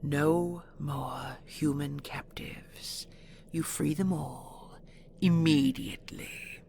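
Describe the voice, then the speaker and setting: A regal dragon queen speaks in a low, heavily creaking growl. She is cold, possessive and imperious, drawing out each threat very slowly, as in a fantasy audio roleplay. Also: fairly even intonation, mellow, whispered, exaggerated voice. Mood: commanding. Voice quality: gravelly, growling. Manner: imperious, slow, deliberate, threatening.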